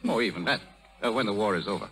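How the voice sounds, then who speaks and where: An actor playing a sly, self-serving schemer in a 1930s radio drama, suave, formal and wheedling. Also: male voice, on the low side, fast, fairly bright tone. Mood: suave, evasive. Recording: old 1930s radio broadcast.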